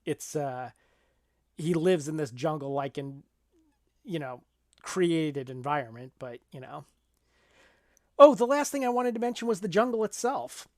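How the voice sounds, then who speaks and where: A man with a fairly high voice talks through films as a podcast co-host. His delivery is halting and explanatory, and the sound is close and dry, with little room around it. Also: lively intonation, no echo. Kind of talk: casual film discussion.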